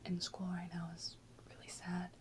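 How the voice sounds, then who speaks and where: A woman whispering softly and unhurriedly in a casual ASMR chat, close to the microphone. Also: lowish voice, monotone, moderate pace, background noise. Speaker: ASMR video host.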